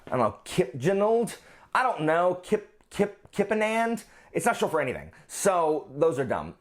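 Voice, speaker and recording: A man with a slightly high-pitched voice talks in a casual, chatty vlog style. He sounds enthusiastic as he addresses his viewers, dropping in jokey, mock-opinionated asides. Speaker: book channel host.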